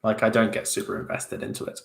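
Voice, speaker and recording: A man's voice: on the low side, huge pitch swings, quick pace, gravelly. A young man chatting casually as he answers viewer questions on a livestream, self-deprecating, with many fillers and restarts. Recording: roomy echo.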